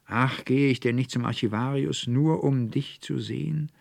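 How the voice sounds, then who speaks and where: A man's voice: medium pitch, moderate pace, gravelly, no echo, studio quality, pitched above the performer's own voice. A man voicing a timid, lovesick young student in a fairy tale, plaintive and yearning. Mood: pitiful longing.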